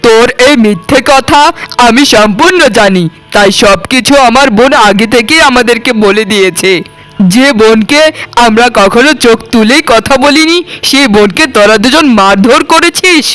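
Man acting a very high-pitched, scolding husband. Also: moderate pace, a bit bright, poor audio. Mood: furious, scolding. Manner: scolding, accusatory, commanding. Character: furious, domineering husband and protective brother. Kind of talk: cartoon melodrama dialogue. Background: background music.

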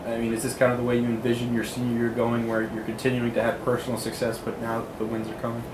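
A man's voice: medium pitch, completely flat delivery, fast talker, roomy sound, background noise. A man, a reporter, asking polite, detailed questions at a postgame press conference.

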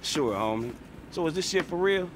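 A man plays a young American crook in a video game. He is streetwise and doubtful, full of slangy sarcasm. The voice is a bit high, with light noise behind it. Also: expressive, moderate pace, lightly acted. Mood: skeptical, sarcastic. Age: young adult. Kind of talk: video game cutscene acting.

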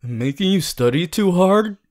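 A man playing an earnest, bossy, worried young student hero in an audio roleplay, talking to the listener in an insistent, halting, emphatic way. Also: high voice, animated. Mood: pleading, insistent. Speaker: voice actor.

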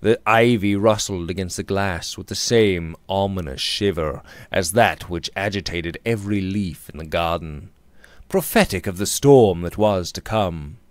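A man in his thirties narrating a Victorian novel theatrically, with measured, emphatic phrasing, close to the microphone in a small room. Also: sits low, no room sound. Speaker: audiobook narrator. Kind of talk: dramatic reading of a Victorian novel. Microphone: studio condenser microphone on a boom arm, close up.

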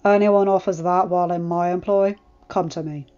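A woman's voice: lowish voice, steady tone, moderate pace, mellow, close to an everyday voice. A woman voicing an affectionate, principled young lord in a story, teasing and earnest. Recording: muffled lo-fi recording, music behind.

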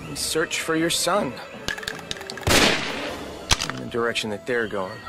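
A man playing a matter-of-fact hired expedition member in an animated film. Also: mid-range voice, unhurried, gravelly. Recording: film audio under loud music.

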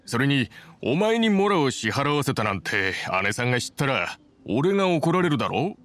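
A man's voice: mid-range voice, sing-song, normal speed, background noise, natural voice. An actor playing a modest, dependable craftsman in an anime game, speaking in a casual, sincere and plain-spoken way. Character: modest, dependable adult male boat builder in anime game.

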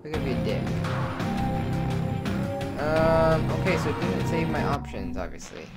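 A man's voice: fairly high pitch, sing-song, a little slow. Profane man commentating a game over music. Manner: casual, profane, muttering to himself. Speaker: gaming video host. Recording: music behind the voice. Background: loud game music.

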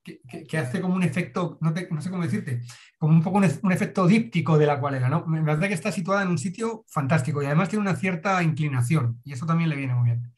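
A man's voice: a little high, fast, darkish tone. Man critiquing students on a call. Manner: encouraging, hesitant repeats. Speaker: watercolor teacher giving feedback. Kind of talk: casual critique on video call. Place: home room, indoors. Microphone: video call.

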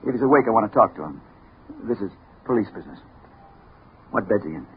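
Through a tinny, hissy old radio recording comes a hard-boiled American newspaperman acted by a man. His delivery is punchy and urgent, swinging widely in pitch as he narrates a 1950s crime drama. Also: animated, fast talker, lightly acted. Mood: brisk, insistent. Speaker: actor narrating and playing the lead.

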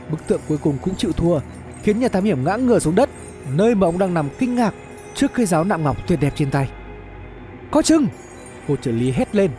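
A man reading a scripted dramatic story as narrator, in an expressive storytelling manner. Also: high-pitched. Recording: music bed under the voice. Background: background music.

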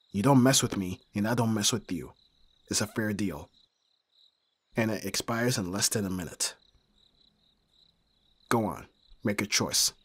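A man acting a brooding mafia boss, hard but secretly caring, in a dramatic audio roleplay with terse, measured delivery. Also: fairly low pitch, slightly rough. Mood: cold, menacing. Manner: terse, profane, sighing, measured.

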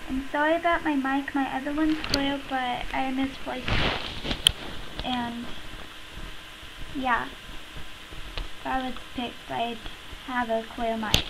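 A young woman with a very high voice talks slowly and casually, introducing her audition. She sounds hesitant. The built-in computer mic crackles and buzzes clearly. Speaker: amateur voice actor auditioning. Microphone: built-in computer microphone.

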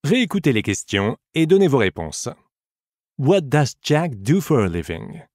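A man's voice: medium pitch, very animated, moderate pace, darkish tone, no room sound, clean studio sound. A man narrating a scripted bilingual audiobook lesson as a teacher, addressing the listener in an encouraging way.

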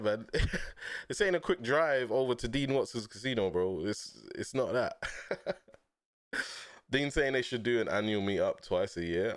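A man, chatting casually on a stream from a small dim room through a headset, telling stories in relaxed slang and laughing often. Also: mid-range voice, no room sound, clean studio sound. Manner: laid-back slangy storytelling, laughs often.

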